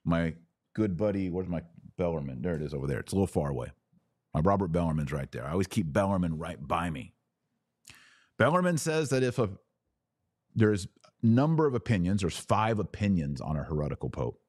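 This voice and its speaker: An American man hosting a podcast, giving conversational commentary in an emphatic way with deliberate phrasing and pauses. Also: low-pitched, sing-song, darkish tone, dry recording, clean studio sound. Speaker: podcast host. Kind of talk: conversational commentary answering listener questions.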